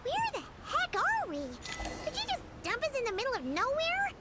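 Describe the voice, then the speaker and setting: A woman voicing a tiny, excitable childlike fairy companion in an anime game, exclaiming and calling herself by name. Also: extremely high pitch, normal speed, exaggerated voice. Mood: indignant, eager.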